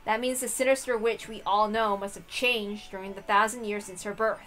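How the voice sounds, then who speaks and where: A woman reading a visual novel aloud, with expressive narration and casual asides. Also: medium pitch, normal speed, faint music behind. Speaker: reader of a visual novel playthrough.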